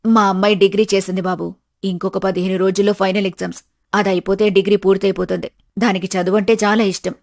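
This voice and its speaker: A woman's voice: medium pitch, flat delivery, quick pace, creaky, voice pushed down, close to an everyday voice. A woman voicing a polite, agreeable middle-aged parent of a bride in a family story, respectful and eager. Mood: proud, polite.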